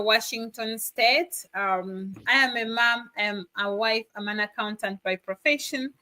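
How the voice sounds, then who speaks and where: Bright upbeat woman from Uganda. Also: medium pitch, low-quality recording. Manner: enthusiastic, celebratory. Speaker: co-host, digital business mentor. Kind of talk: upbeat live-stream chat.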